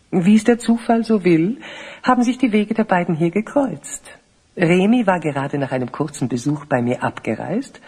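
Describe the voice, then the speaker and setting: A dignified older parent and host, measured and hospitable. The voice is very hoarse and airy at a middling pitch, in formal audio-play delivery. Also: male voice, a little mellow. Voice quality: very husky, breathy. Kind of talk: German fantasy audio play acting.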